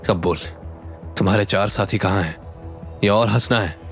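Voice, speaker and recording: A man's voice: fairly low pitch, moderate pace, soft background music, close to an everyday voice. A man voicing a confident, menacing male action hero in an audio drama, taunting and threatening without hurry. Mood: mocking.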